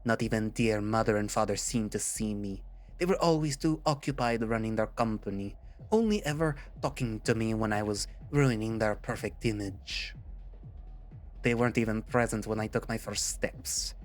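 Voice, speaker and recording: A man's voice: lowish voice, moderate pace, natural voice. A man voicing a bitter, vain chameleon villain in a melodramatic, pompous way, with a put-on French accent. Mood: wounded, brooding. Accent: mock French.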